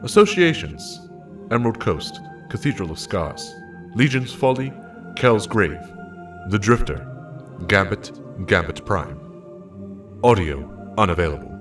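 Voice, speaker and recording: Slow, distant man voicing an archive machine. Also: lowish voice, big pitch swings. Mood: impersonal. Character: impersonal archive machine announcing messages and reports. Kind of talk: clipped report readout. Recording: distant voice under music.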